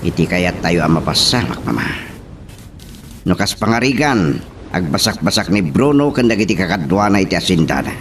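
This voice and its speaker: A scheming, very animated young master. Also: male voice, low-pitched, normal speed. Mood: plotting. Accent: Ilocano. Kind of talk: Ilocano radio drama acting. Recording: radio drama over clearly noisy ambience.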